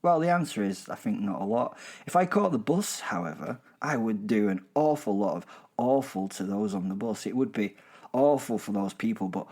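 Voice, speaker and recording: Guy doing a british werewolf killer for a horror audio drama, kinda low voice, chatty and smug, rambles with dark jokes. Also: lively intonation, normal speed. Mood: gleeful, boastful. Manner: wry, rambling, darkly humorous asides. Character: gleeful, boastful werewolf killer on the run. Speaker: narrator and host of a horror audio serial. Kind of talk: chatty first-person dramatic storytelling.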